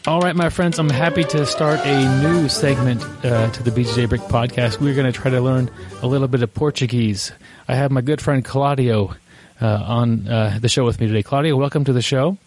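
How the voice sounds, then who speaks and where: A man's voice: medium pitch, brisk, music in the background. A man hosting a podcast in a casual, rambling way, with many fillers and restarts, laughing easily. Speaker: podcast host.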